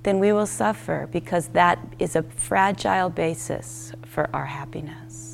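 A woman teaching a guided meditation, speaking in gentle, unhurried instruction with short, spaced phrases. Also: on the low side, gravelly, slight background noise.

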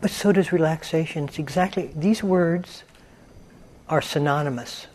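A man teaching a guided meditation in a calm, gentle manner, leaving long pauses between phrases. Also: on the high side. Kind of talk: guided meditation, unscripted instruction.

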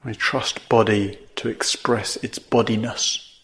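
A man teaching a guided meditation in measured phrases, with long pauses between them. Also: on the low side, fairly even intonation, fairly bright tone.

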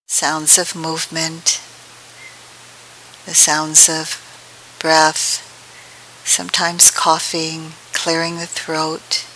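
A woman leading a guided meditation as a teacher, speaking gently in unhurried phrases with long pauses. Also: low-pitched, low-quality recording. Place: meditation hall at a group retreat. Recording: steady hiss behind the voice.

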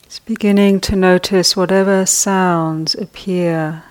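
A woman leads a guided meditation in a low, steady voice that barely rises or falls. She speaks very slowly and softly, leaving long pauses between her gentle instructions. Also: rough recording. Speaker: meditation teacher.

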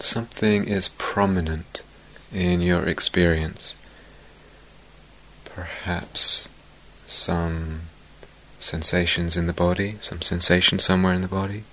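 Low, very slow male meditation guide. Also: low-quality recording. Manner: gentle, soft-spoken, with long pauses and phrases trailing toward a whisper. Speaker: meditation teacher.

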